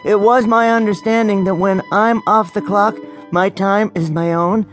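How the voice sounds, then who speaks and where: A mild, myopic little male bookworm in a radio drama. His voice is high for a man, with a deferential, stammering, slightly rambling delivery that suits an absent-minded clerk. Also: steady tone, measured pace, fairly bright tone, soft background music. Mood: meek.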